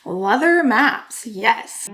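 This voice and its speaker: A woman with a raspy voice, talking softly and unhurriedly through a quiet crafting vlog in her home studio, with wry asides. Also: a little high, animated, drawn-out words. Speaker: crafting vlogger.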